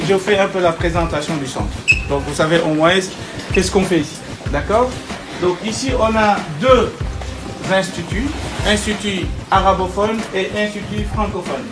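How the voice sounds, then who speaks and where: A man's voice: fairly high pitch, echoey room. A man from Senegal, an imam, guiding visitors around his centre and explaining, with many fillers. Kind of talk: guided tour explanation.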